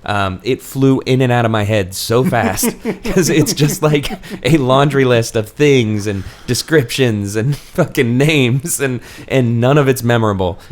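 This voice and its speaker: A man's voice: medium pitch, lively intonation, unhurried, few pauses. A man hosting a podcast, chatting casually about books. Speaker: podcast host. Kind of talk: casual book discussion chat.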